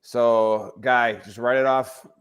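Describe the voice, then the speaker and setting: A man's voice: medium pitch, fairly even intonation, slow pace, clean tone. A man in his forties co-hosting a podcast, ribbing the guests with stammered, easygoing talk. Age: 40s. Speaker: podcast co-host. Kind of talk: casual banter.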